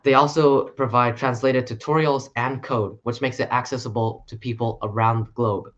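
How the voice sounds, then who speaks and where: A man presenting a short conference talk in an earnest, steady way. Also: mid-range voice, normal speed, muffled recording. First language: English.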